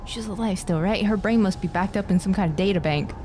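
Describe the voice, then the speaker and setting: A woman's voice: medium pitch, fast, warm tone. A woman streamer giving casual, playful live commentary on a game, reacting to what happens as she plays. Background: game music.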